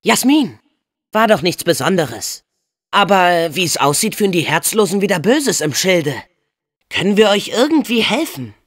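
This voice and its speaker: A man's voice: sits high, very animated, moderate pace, a bit bright, full character voice. A young man voicing a plucky, kind-hearted teenage boy hero in a video game dub, earnest and spirited, with casual youthful phrasing. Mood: friendly.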